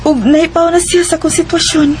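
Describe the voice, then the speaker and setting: A woman's voice: very high-pitched, fairly even intonation, a little fast, a little mellow. An actress with a Cebuano Filipino accent playing a young woman in love, frank about her feelings, in a radio drama. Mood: apologetic, firm. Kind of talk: Philippine radio drama acting. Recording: noticeable background noise and ambience.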